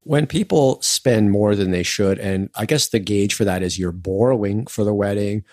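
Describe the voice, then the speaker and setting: A man with a lowish voice co-hosts and narrates in a measured, thoughtful manner. The sound is dry and close, with no room echo. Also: darkish tone. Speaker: podcast co-host. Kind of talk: conversational co-hosting and narration.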